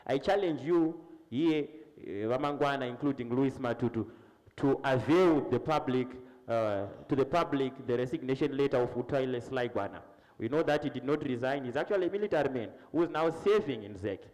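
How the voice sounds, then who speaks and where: A man argues his party's case in a rush, words tumbling out fast and insistently. He restarts phrases as he goes. A long reverb hangs around him in the studio. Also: medium pitch, a little mellow. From Zimbabwe. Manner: insistent, piles up points with restarts. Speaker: party politician on a panel. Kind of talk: impassioned political argument.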